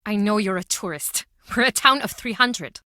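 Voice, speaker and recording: A woman's voice: mid-range voice, normal speed, pushed and bright, dry recording, studio quality, close to an everyday voice. A woman playing a guarded, bitter small-town pub owner in a video game, speaking in a curt, brooding, dramatic way. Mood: guarded, suspicious. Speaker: game character.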